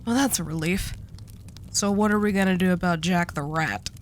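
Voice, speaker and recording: A woman's voice: lowish voice, moderate pace, close to an everyday voice. Woman acting a curt female mafia boss, noisy background. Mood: hard, determined. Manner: dry, curt, teasing. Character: commanding, sharp-tongued female mafia boss, wry. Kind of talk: audio roleplay drama acting.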